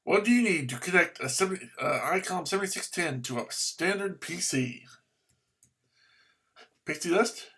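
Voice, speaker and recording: A man from the United States vlogging about ham radio, muttering to himself as he searches on his computer, with bursts of excited cheering. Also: high voice, moderate pace, long pauses, husky. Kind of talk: casual screen-recorded commentary.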